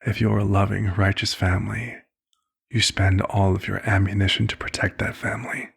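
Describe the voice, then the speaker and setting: Casting: male actor as aged vampire physician for ASMR roleplay; kindly, formal, fatherly, heavy vocal fry, even intonation. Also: fairly low pitch, heavily acted. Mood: reflective, somber. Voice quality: husky, gravelly. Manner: measured, slightly formal, old-fashioned phrasing. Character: old, compassionate male vampire doctor, gently paternal and measured.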